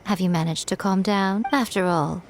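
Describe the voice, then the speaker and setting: A woman playing a teasing, self-assured fox shrine maiden in a video game, speaking in a measured, playful way. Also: mid-range voice, fairly even intonation, slight background noise, close to an everyday voice. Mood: gently probing. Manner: smooth-tongued, playful, measured. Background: faint game music.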